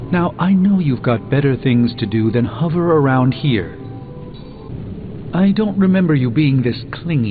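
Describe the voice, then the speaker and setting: A man voicing a confident, dryly witty sorcerer superhero in a video game, with theatrical, articulate delivery. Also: mid-range voice. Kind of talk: scripted video game dialogue. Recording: muffled game audio under clear music.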